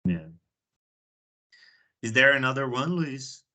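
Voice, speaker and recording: A man's voice: mid-range voice, very animated, slow, bright, forceful tone. A Brazilian man hosting a video call, chatty and often repeating words as he starts a sentence. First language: Portuguese. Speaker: meeting host. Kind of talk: casual conversation on a video call.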